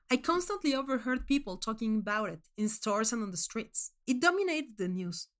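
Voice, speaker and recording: A woman narrating a first-person short story in an expressive, measured storytelling manner. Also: mid-range voice.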